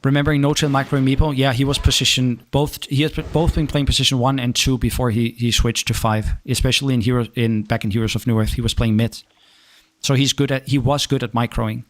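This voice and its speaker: Relaxed Danish man chatting while gaming. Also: mid-range voice. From Denmark. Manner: halting, restarts often, relaxed. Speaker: gaming streamer. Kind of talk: casual chat reading viewer questions.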